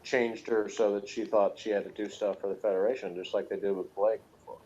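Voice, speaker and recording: A man's voice: lowish voice, fairly even intonation, brisk, highs cut off, background noise. A man co-hosting a review show in casual, joking chat with a teasing manner. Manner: teasing, jokey.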